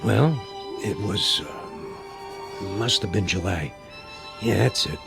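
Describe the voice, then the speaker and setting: A low, gravelly older American man in a radio drama. He is nostalgic and folksy, swinging up and down in pitch as he spins a tale, with music behind him. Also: animated, takes it slowly, exaggerated voice. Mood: wistful storytelling. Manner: folksy, colloquial storytelling. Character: Corrigan, nostalgic old working man and former ballclub fan.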